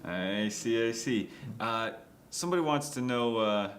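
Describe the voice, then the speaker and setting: A man working as an interviewer, asking questions in a relaxed, conversational way with fillers. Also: mid-range voice, measured pace, slight background noise.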